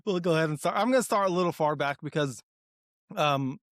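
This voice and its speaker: A man in his thirties from Texas, talking eagerly and earnestly as an interview guest, full of "like" fillers and quick restarts. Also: a little high, a bit bright, no echo, studio quality. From Dallas, Texas, US. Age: 30s. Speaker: guest, applied research leader. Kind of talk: conversational interview.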